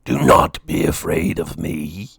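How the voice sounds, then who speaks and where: A man voicing a gigantic, kindly male dragon in a children's storybook, speaking slowly and deliberately with gentle solemnity. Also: rumbling low, steady tone, gravelly, slightly rough, no room sound, voice pushed down, exaggerated voice. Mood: pleading. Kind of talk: children's storybook read-aloud.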